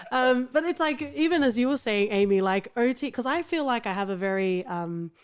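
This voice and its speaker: A woman with an Australian accent hosting a podcast, chatty and quick to laugh. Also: a little high, expressive, smooth. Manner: chatty, laughs often, trails off and restarts. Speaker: podcast host. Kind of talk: casual podcast chat.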